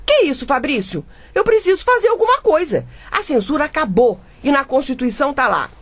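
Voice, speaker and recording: A woman's voice: high voice, very animated, a little fast. An actress with a Brazilian accent playing an indignant, crusading mother and newspaper reporter in a comedic radio drama, emphatic and dramatic. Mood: determined. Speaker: actor.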